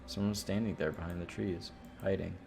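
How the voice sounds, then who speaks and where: A man with a fairly deep voice reads a horror visual novel aloud for a stream. He narrates expressively, like a storyteller, and slips into casual asides. Game music plays clearly behind him. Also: steady tone, brisk. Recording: loud music under the voice.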